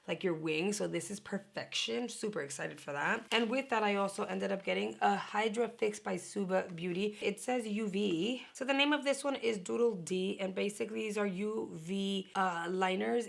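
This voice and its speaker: Woman in her thirties, raspy sore-throat voice, gravelly fry, chatty unboxing talk. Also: medium pitch. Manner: chatty, animated, drops into whispery asides. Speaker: beauty vlogger. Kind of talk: casual unboxing and makeup chat.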